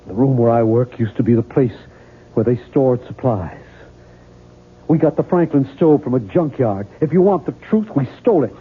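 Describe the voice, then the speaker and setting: An actor playing an intense, tormented American novelist in a 1970s radio drama, speaking with fervent earnestness. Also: male voice, mid-range voice, lively intonation, moderate pace, breathy edge, narrow, tinny audio. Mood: earnest, bitter. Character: tormented, intense struggling male novelist, obsessed and pleading.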